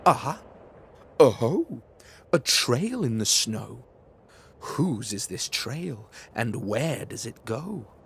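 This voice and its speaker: A man voicing a small, brave, curious young girl monster in a storybook reading, with excited exclamations. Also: medium pitch, slow pace, creaky, heavily acted.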